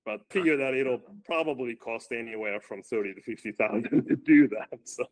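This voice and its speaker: A man with a loud voice, a running coach answering interview questions and thinking aloud as he moves between points. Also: mid-range voice, moderate pace, low-quality recording.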